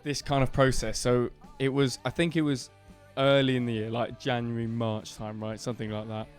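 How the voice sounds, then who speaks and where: Booming-voiced man, rambling. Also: flat delivery, normal speed, clean tone, faint music behind. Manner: rambling storyteller, many fillers and restarts. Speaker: band member co-hosting a podcast. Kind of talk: casual chat.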